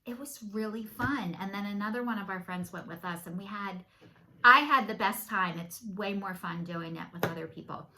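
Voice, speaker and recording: A woman's voice: mid-range voice, pushed and bright, rough recording. A woman, chatting candidly and self-deprecatingly to camera from her home. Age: 50s. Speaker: vlogger. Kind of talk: casual chat to camera.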